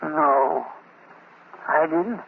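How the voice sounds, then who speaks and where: A muffled, noisy vintage radio recording of a simple rustic man, brooding and vengeful. He talks very slowly and haltingly, with a creaky voice that rises and falls in folksy, broken phrases. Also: on the high side, heavily acted. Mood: dazed, evasive. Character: grieving, muddled, vengeful simple rustic man with weak heart. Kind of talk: old-time radio drama acting.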